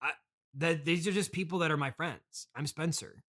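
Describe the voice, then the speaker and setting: A man playing a sulky, mocking schoolboy son of a trillionaire in an improvised comedy interview, with whiny complaints and deadpan jabs. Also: a little high, normal speed, natural voice. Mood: whiny, aggrieved.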